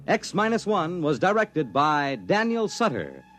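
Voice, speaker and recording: A man announcing a 1950s radio drama in a crisp, formal broadcast style. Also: a little high, big pitch swings, unhurried, a bit bright, soft background music.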